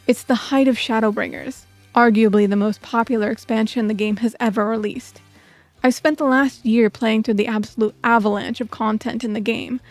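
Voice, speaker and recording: A woman narrates a scripted personal story for a video essay. Her voice sits a little high, and faint music plays behind her. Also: no room sound.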